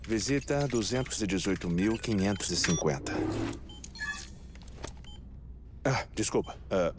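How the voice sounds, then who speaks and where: A man's voice: deep voice, background noise, lightly acted. An actor with a Brazilian accent playing an eccentric, melancholic, talkative man in a dubbed video game cutscene. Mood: chatty, wry. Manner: talkative, halting, musing asides. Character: eccentric, melancholic man living in twenty-one-minute death cycles, chatty. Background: music.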